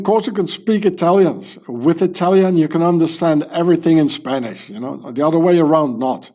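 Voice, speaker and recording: A man's voice: mid-range voice, a little mellow. A man from South Africa who grew up speaking French at home, giving a rambling commentary to his video channel and asking rhetorical questions. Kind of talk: casual commentary monologue.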